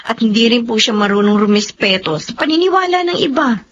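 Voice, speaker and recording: A woman acting a principled, gently firm doctor in a Filipino radio drama, speaking earnestly and politely. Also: on the high side, lively intonation, a little fast. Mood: hurt. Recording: slightly noisy, dull radio-drama audio.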